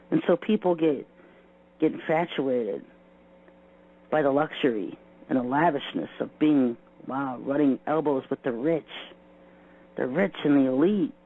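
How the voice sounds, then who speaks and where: A man giving an informal spoken exposé, emphatic, often listing things in short phrases. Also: fairly high pitch. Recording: dull lo-fi audio with hum.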